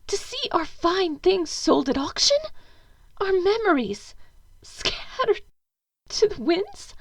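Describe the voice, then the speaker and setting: A woman's voice: extremely high pitch, very animated, slow, no echo, heavily acted. A woman playing a stern, anxious upper-class mother in an audio drama, imperious and pleading. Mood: tearful guilt-tripping. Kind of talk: fan audio drama acting.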